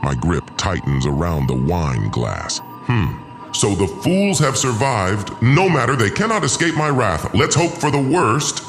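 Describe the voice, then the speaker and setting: A man performs a cold sci-fi tyrant with a low voice that rises and falls dramatically. He is pompous, gloating and vengeful, telling his own tale as audio drama over clear background music. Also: big pitch swings, unhurried. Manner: pompous, menacing, theatrical. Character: cold, vengeful, gloating male usurper lord, sci-fi villain. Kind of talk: first-person audio drama narration and dialogue.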